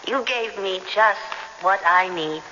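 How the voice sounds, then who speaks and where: An actress playing a brisk, suspicious female police detective in a radio drama, probing and self-assured. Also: mid-range voice, natural voice. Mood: probing, falsely pleasant. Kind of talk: 1970s radio drama acting. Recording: dull, noisy old radio broadcast, reverberant.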